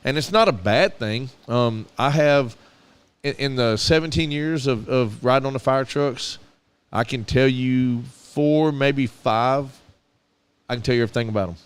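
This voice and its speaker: Man, Southern accent, middle-aged, resonant clear voice, medium pitch, casual radio chat. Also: moderate pace. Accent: Southern American. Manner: earnest, storytelling, with repeated restarts. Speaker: podcast co-host.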